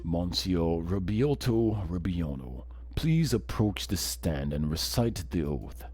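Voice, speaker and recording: A man voicing a stern, scornful judge in a courtroom game, measured, pompous and withering. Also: low voice, expressive, darkish tone, husky, no room sound, voice pushed down, heavily acted. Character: stern, scornful old male courtroom judge, deep and imperious.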